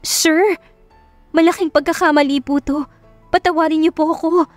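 Woman acting a flustered, high-pitched young assistant. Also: steady tone, normal speed, no room sound, soft background music. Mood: flustered pleading. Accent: Filipino. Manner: pleading, indignant. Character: flustered, proud young female personal assistant.

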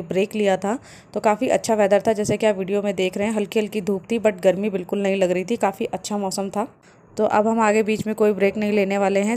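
A woman, calmly narrating a family travel vlog as a voice-over. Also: medium pitch. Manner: calm, explanatory, steady. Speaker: travel vlogger.

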